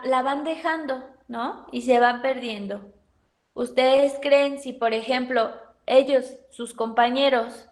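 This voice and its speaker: A Mexican woman teaching a university class in a slow, measured way, often stopping to put questions to the students. Her voice sits a little high, and her pace stays unhurried. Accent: Mexican Spanish. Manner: questioning the class, thoughtful pauses. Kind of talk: university pedagogy lecture.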